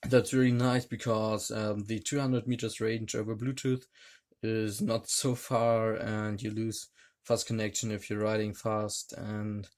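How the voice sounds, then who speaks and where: A man reviewing a gadget hands-on, talking in a hesitant, halting way with many fillers. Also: lowish voice, level tone, darkish tone. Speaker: tech reviewer. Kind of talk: hands-on product demonstration.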